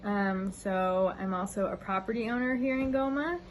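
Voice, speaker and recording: An American woman in her thirties, talking casually to camera on an outdoor veranda, with many ums and an earnest, relaxed manner. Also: mid-range voice, normal speed, a little hiss or hum. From United States. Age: 30s. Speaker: vlogger.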